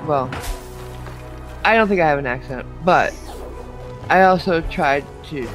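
A man's voice: a little high, measured pace. A man with a New York accent, streaming a video game and chatting casually with friends, joking around. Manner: joking, animated, mock accents. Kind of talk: casual chat while gaming. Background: game music.

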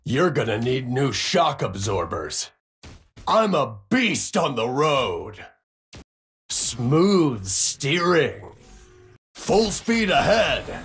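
An actor playing a brash, cocky racing robot in a video game, with a gravelly, husky voice and a boisterous drawl. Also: male voice, medium pitch, fairly bright tone, exaggerated voice. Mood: fierce. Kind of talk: video game character voice lines.

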